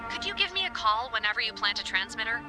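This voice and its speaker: Casting: businesslike dispatcher on a walkie-talkie, earnest, fairly high voice, music behind, video game drama. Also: female voice, big pitch swings, quick pace. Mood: concerned, reassuring. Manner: clipped radio protocol, earnest. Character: earnest, businesslike female emergency dispatcher named Evelyn.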